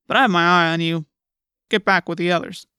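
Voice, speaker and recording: Narrator doing a gruff, suspicious policeman. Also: medium pitch, fairly even intonation, a little fast, pushed and bright, no echo, studio quality, close to an everyday voice. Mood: gruff, demanding. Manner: gruff, curt, demanding. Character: gruff suspicious policeman, adult man, bullying authority.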